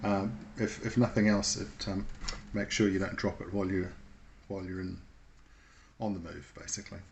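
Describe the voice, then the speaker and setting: A man with a somewhat low voice speaks as an instructor. He explains things informally and in a measured way, pausing hesitantly as he goes. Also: little pitch movement, vocal fry, a little hiss or hum.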